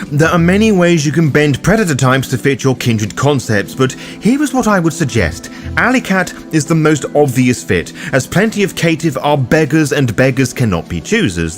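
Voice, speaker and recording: Higher-pitched man narrating with lively, swooping delivery. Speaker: host of a tabletop roleplaying guide. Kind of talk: scripted narration, explainer video.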